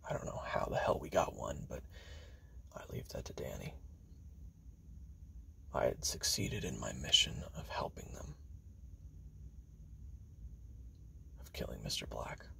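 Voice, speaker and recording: A man playing a weary, grim assassin who records his memoirs as a slow, halting confessional monologue in a gravelly, breathy half-whisper. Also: a bass voice, expressive, full character voice. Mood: weary, resigned. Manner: slow, halting, hushed, with long pauses.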